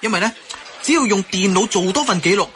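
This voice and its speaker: A reasonable, talkative colleague in Cantonese radio drama. His high, bright Hong Kong voice explains and placates over faint noise. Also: animated, quick pace. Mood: persuasive. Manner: chatty, explanatory.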